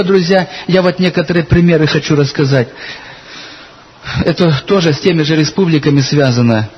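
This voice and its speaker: A man's voice: a little high, breathy edge. A man preaching a sermon in an earnest way, telling stories from his church and reading scripture aloud. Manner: earnest, addresses listeners as brothers and sisters. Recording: dull lo-fi recording with faint hiss.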